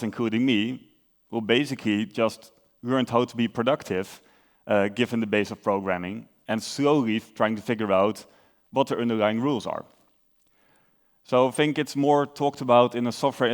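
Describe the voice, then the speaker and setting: A young man from the Netherlands with a Dutch accent, giving a conference talk in a relaxed, explanatory way with filler uhs and self-corrections. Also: medium pitch. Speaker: conference speaker.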